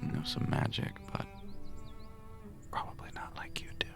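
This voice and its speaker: A man playing a gentle, smitten healer cleric in a romantic audio drama, murmuring softly and tenderly. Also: a little slow, whispered, lightly acted. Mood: hushed, fond. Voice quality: deep, gravelly, soft murmur. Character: gentle, smitten male cleric with healing magic. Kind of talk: romantic audio drama, first-person narration and dialogue. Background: music.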